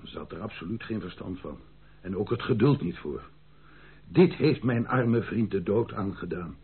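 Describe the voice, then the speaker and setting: Husky, worried army captain. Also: male voice, fairly low pitch, animated, normal speed, heavily acted. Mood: agitated, explaining. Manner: deferential, emphatic storytelling. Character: frank, worried army captain, loyal family friend. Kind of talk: Dutch radio drama acting. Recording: muffled, band-limited old radio recording.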